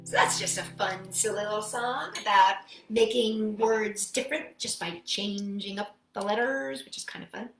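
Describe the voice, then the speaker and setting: A woman's voice: mid-range voice, moderate pace, creaky, a bit bright, roomy sound, soft background music. A woman hosting a children's storytime, reading and chatting expressively and speaking directly to young listeners. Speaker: children's storytime host and singer. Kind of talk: children's storytime read-aloud and sing-along.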